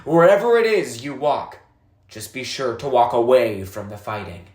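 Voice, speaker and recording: A storybook narrator voicing a reserved, sympathetic old village woman who speaks plainly and gravely. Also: medium pitch, lively intonation, moderate pace, darkish tone, lightly acted. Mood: sympathetic, firm. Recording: echoey, roomy sound.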